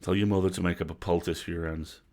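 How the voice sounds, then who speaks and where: A man's voice: low voice, level tone, fast, a little mellow. A man voicing a blunt, fierce, weary old grandmother in a storybook, scolding and curt. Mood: weary reproach.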